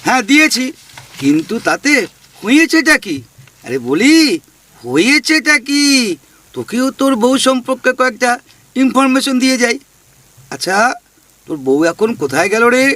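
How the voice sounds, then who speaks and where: A man's voice: extremely high pitch, very animated, moderate pace, long pauses, sharp tone, full character voice. A man playing a teasing, gossipy ghost in a comic audio drama, talking with mocking familiarity and scolding questions. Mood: needling. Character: cheeky male ghost, teasing gossip who talks with folksy familiarity.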